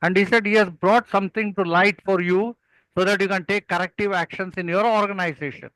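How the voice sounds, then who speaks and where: Older male, South Indian accent, Malayalam native; bright, high voice, didactic conversational storyteller, dry sound. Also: steady tone, brisk, no room sound, poor audio. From Kerala, India. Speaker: guest, experienced club speaker.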